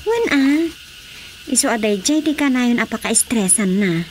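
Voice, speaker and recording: A woman playing a talkative, concerned village woman in a Filipino radio drama, with an Ilocano accent and expressive, gossipy delivery. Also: on the high side, unhurried, a little hiss or hum.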